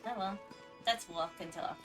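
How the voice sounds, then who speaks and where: A woman's voice: lowish voice, moderate pace. A woman game streamer chatting casually on a livestream in a hesitant, rambling way. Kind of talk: casual livestream chat over gameplay. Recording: distant microphone under game music. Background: game music.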